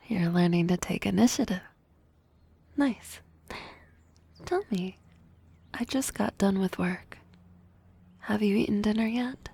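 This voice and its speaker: A woman acts out a young harpy classmate, shy yet bold in love, for an ASMR roleplay. Her voice is soft, breathy and often drops to a whisper. She speaks slowly and haltingly with a teasing edge, in a very dry, close recording. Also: medium pitch, no room sound, exaggerated voice. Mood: tender, teasing.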